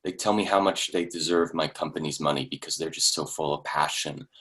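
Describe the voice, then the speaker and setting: An actor in a staged play reading, playing a blunt but awkwardly tactful investor friend, with a wry, conversational delivery. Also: male voice, very deep voice, fairly even intonation, a little fast, sharp tone, full character voice. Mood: forced toasting.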